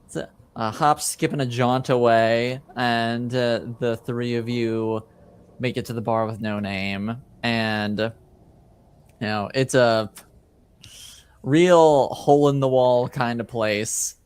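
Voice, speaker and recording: Slow, clear-voiced man running a game. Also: mid-range voice, steady tone. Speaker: game master. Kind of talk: casual narrating of a tabletop game.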